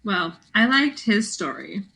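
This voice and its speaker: A woman's voice: medium pitch, very animated, unhurried, creaky, pushed and bright. A woman co-hosting a podcast, chatting casually as she discusses a book.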